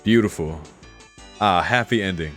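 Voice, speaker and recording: A man's voice: low voice, normal speed, a bit bright, soft background music. A man streaming a let's play, amused and slangy, commenting casually and reading lines aloud.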